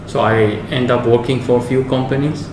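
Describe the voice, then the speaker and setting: Halting man from Syria, distant and echoey. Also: medium pitch, fairly even intonation. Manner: halting, self-correcting. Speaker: host, round-table organizer. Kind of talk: round-table discussion. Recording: echoey room, mic at a distance, noise.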